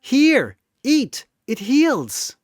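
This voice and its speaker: A blunt, excitable young female soldier with an endless appetite, voiced by a man pitching up. Her voice sits high and swings widely, and the recording is close and dry. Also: drawn-out words, no echo, exaggerated voice. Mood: overjoyed. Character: young female soldier, eager and food-loving.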